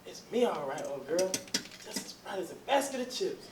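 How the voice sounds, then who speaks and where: A man's voice: sits high, big pitch swings, moderate pace. A man playing an ardent, insistent suitor in his forties in a readers theatre drama, with folksy, effusive speech. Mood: ardent, eager. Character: ardent, insistent 42-year-old man courting his lost sweetheart. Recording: roomy, distant, noisy recording.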